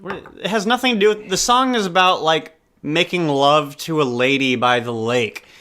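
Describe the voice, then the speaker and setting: A man's voice: a little high, moderate pace, pushed and bright. A man chatting casually about an album, joking and rambling, often trailing off mid-sentence. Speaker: podcast co-host.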